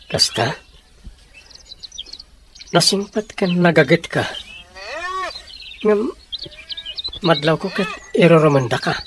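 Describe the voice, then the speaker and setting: An actor playing a polite, helpful young bachelor ranch hand in a radio drama, with a courteous, gentle manner. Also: male voice, on the high side, expressive, slow pace, pauses often, noisy background. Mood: curious, kindly. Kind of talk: Ilocano radio drama acting. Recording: radio drama with loud music underneath.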